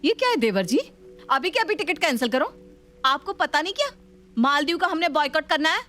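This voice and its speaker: High-pitched, excitable young daughter-in-law, played by a woman. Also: brisk, heavily acted. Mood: indignant.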